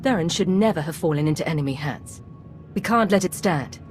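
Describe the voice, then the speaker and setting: Cool, low-pitched female agent. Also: expressive, fairly quick, a little mellow, faint music behind. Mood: grave, resolute. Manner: clipped, formal, purposeful. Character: cool, determined female imperial secret agent commander. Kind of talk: video game cutscene acting.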